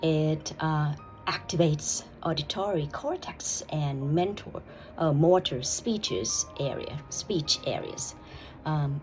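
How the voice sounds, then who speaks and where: Slow, soft-spoken Thai woman. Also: sits low, low-quality recording. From Thailand. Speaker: vlogger. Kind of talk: personal vlog with read-aloud passage.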